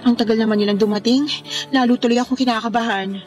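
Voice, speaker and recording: High-voiced sweet young Filipina played by an actress. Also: female voice, steady tone, moderate pace, faint music behind, close to an everyday voice. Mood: nervous, impressed. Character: Laika, sweet accommodating young woman, shy and nervous girlfriend. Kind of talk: Filipino radio drama acting.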